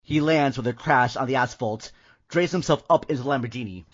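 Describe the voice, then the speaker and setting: A man's voice: medium pitch, fairly quick, dull audio, noisy background. A man hosting and narrating an audio drama from a script in a dramatic style. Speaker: podcast host and narrator.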